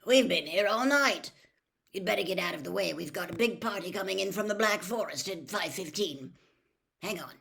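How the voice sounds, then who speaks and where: A weary wizard official from a storybook, acted out by a woman reader. He is wry and brisk, giving out directions in a matter-of-fact way like a man at the end of a long night shift. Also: mid-range voice, expressive, vocal fry, a bit bright.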